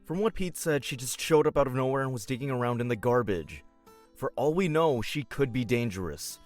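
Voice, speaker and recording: A man's voice: medium pitch, animated, a little fast, soft background music, close to an everyday voice. A man acting a cynical, protective and hot-tempered young older brother in an audio drama. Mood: stern, worried.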